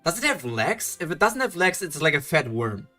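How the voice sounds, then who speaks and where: A man's voice: fairly high pitch, very animated, moderate pace, sharp tone, soft background music. Chatty German man on stream. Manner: chatty, thinks aloud, restarts and chuckles, answers chat. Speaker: streamer reading webtoons live. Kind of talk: live reaction stream, reading chat.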